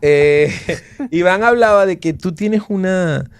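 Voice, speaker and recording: A man's voice: high voice, slow, smooth, no echo, studio quality. A man in his forties hosting a casual podcast interview, talking in an effusive, enthusiastic way. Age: 40s. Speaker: podcast host.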